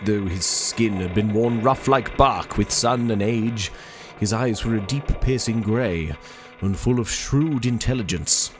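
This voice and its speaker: A man's voice: lowish voice, a little slow, over music, close to an everyday voice. A man reading a game journal aloud as an 18th-century sea captain writing a solemn, formal letter to his daughter.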